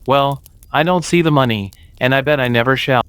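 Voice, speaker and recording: An actor playing a flippant, teasing grown son in an audio story, playfully mocking. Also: male voice, medium pitch, a little fast, a bit bright, smooth, no echo, close to an everyday voice. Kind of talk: dramatised audio story acting.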